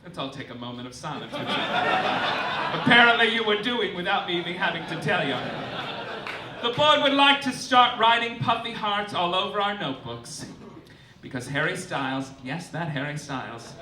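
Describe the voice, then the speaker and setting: In a roomy, noisy live club, a man delivers stand-up comedy in a high voice. He is campy and theatrical, presenting mock-formal announcements with exasperated, sweary asides. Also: expressive, moderate pace, sharp tone. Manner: campy, theatrical, swooping emphasis. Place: indoor cabaret club stage. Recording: roomy live stage, distant, clearly noisy.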